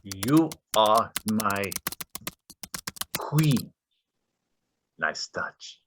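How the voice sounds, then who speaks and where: An actor playing a desperate Russian scammer in staged readers theater, speaking halting broken English with a Russian accent. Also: male voice, lowish voice, expressive, fairly bright tone, a little hiss or hum, full character voice. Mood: pleading, ingratiating. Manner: halting broken English, muttering asides to himself. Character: desperate Russian male scammer posing as Nigerian suitor.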